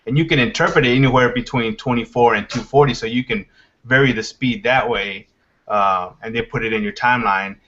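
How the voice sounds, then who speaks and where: A man in his forties from Texas joins a casual video call as a guest. His voice is mid-pitched, and he explains technical steps plainly. The call audio is muffled and lo-fi. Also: brisk, sharp tone. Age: 40s.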